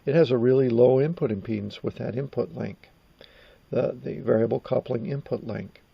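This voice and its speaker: A man in his sixties gives an informal technical lesson. His voice is creaky and rough-edged, and he pauses often as he goes. He is recorded at a slight distance from the microphone in a small room at home. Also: mid-range voice, highs cut off. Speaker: presenter of a vintage radio electronics channel.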